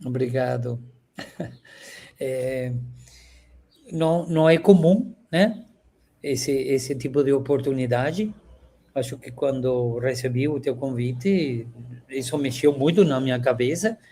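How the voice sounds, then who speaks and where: Venezuelan man, halting reflective guest. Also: medium pitch. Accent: Venezuelan Spanish. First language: Spanish. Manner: warm, halting, frequent filler "este". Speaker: interview guest. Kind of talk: reflective conversational interview.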